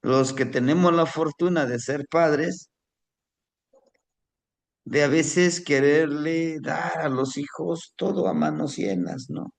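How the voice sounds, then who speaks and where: A man from Mexico, a native Nahuatl speaker with a Mexican Spanish accent, telling a personal story to a class in a slow, reflective way. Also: fairly high pitch, expressive, creaky. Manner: slow, reflective, warmly addresses listeners as family.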